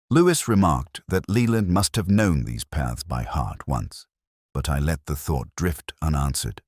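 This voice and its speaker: A middle-aged man narrating a first-person detective story in a measured, reflective, literary manner. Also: rumbling low, no room sound, studio quality.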